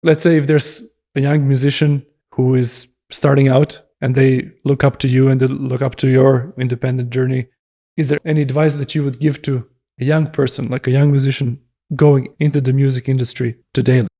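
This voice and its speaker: A man hosting an interview, asking long, gentle questions padded with hedges and fillers. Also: mid-range voice, level tone, moderate pace. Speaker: podcast host.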